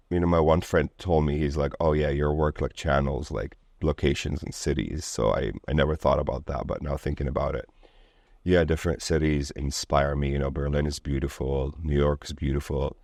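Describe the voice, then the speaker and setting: A man's voice: extremely low pitch, steady tone, moderate pace, creaky, a little mellow, no echo, studio quality. A man from Lebanon speaking as an interview guest in a casual, rambling way, full of filler words like "like" and "you know".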